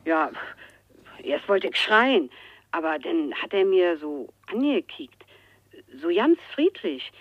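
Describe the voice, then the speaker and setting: A woman's voice: fairly low pitch, very animated, slow pace, long pauses, no room sound, heavily acted. Chatty cleaning lady in a radio play, rambles on, dry dull sound. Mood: rambling, confiding. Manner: rambling, colloquial, eager to tell. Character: chatty, gossipy working-class cleaning lady. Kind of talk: German radio crime drama acting.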